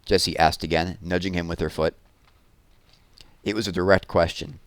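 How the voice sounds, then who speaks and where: A man narrating a children's chapter book aloud as an expressive storyteller. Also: deep voice, moderate pace, clear, resonant tone, no echo.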